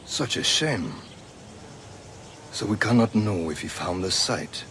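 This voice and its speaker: An actor playing a dignified, calculating older mentor with formal, measured speech in a video game. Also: male voice, fairly low pitch, animated, a bit bright, a little hiss or hum, heavily acted. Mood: concerned. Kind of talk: video game cutscene acting.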